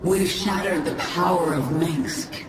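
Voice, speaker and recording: An actress playing a grim, vengeful alien swarm queen in a game cutscene, measured and commanding, with an echoing processed voice. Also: fairly low pitch, heavy background noise. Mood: grave resolve. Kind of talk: dramatic game cutscene acting. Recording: echoing, distant, under loud music.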